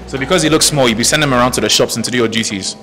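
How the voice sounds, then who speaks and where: Fast-talking young man hosting a game show. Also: mid-range voice, sharp tone. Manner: teasing, quick, repeats words for effect. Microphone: handheld mic. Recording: roomy studio echo with music.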